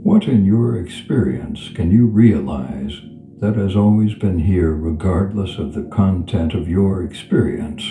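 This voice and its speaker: A man guiding a meditation in a soothing, unhurried manner, leaving long pauses between phrases. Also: low-pitched, animated, mellow. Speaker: meditation guide. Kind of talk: guided meditation. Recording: reverberant voice over clear background music. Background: background music.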